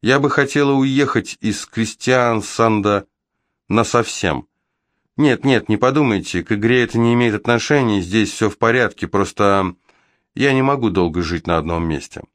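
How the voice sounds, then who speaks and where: A man's voice: lowish voice, normal speed, a bit bright, dry recording, clean studio sound, lightly acted. A man voicing a self-assured, teasing young woman cellist in an audiobook, with frank delivery. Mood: frank, open.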